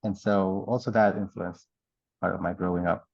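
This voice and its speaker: A middle-aged man from the Dominican Republic and Puerto Rico, an artist in residence, telling his life story in a relaxed, rambling way with many fillers and laughs. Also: sits low, moderate pace, creaky, husky, no echo, highs cut off. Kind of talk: oral history interview.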